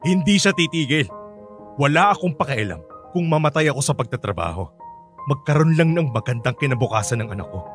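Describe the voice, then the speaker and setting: A man's voice: medium pitch, big pitch swings, brisk, soft background music, lightly acted. A man acting as a hardworking father in a radio drama, narrating his troubles and arguing with his wife, earnest and frustrated. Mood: defiant. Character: hardworking father, weary and bitter.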